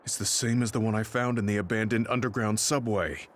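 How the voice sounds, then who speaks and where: An actor voicing a wary, laid-back squad veteran in an English-dubbed anime game. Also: male voice, lowish voice, flat delivery, a little fast, close to an everyday voice.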